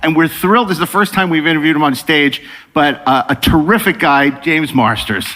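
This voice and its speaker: A man's voice: fairly high pitch, brisk, very noisy. A man hosting a live onstage interview, putting conversational questions to a guest. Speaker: interviewer, host. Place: on stage in a hall. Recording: echoey hall with long reverb.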